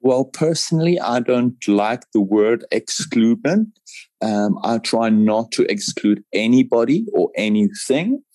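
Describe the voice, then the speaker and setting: A man's voice: mid-range voice, lively intonation, measured pace, dark tone, no room sound. A man, explaining things reassuringly as an interview guest and repeating phrases for emphasis. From South Africa. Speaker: paragliding pilot, interview guest. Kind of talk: conversational interview answers.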